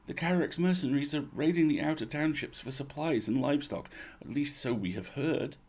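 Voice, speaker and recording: A man voicing a weary, regretful old duchess who speaks in formal, measured phrases for a storybook reading. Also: on the high side, darkish tone, husky, voice pushed up.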